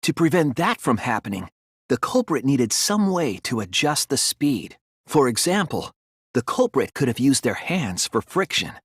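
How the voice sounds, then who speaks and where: Casting: young student detective for anime-style game, husky, earnest, analytical, very expressive pitch. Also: male voice, no room sound, clean studio sound. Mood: thoughtful, certain. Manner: deliberate, earnest, explanatory delivery with dramatic emphasis. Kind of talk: dramatic English game dub, deductive monologue.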